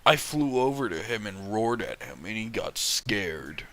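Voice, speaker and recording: A man's voice: on the low side, very animated, unhurried, pushed and bright. A man casually reading fan fiction aloud and mocking it with sarcastic, sweary, amused commentary.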